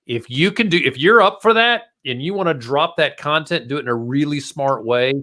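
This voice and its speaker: A man's voice: fairly high pitch, lively intonation, fairly quick, bright, forceful tone. A man hosting a casual marketing podcast, chatting informally and speaking straight to his listeners. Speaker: podcast host.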